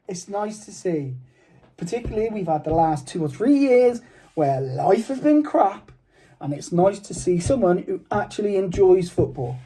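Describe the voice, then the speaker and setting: A man co-hosting a casual football chat, chatty and playful, with a voice he calls high-pitched. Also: sing-song, moderate pace, mellow, slightly rough, rough recording. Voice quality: says he has a high-pitched voice. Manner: chatty, playful, argues back easily. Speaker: podcast co-host.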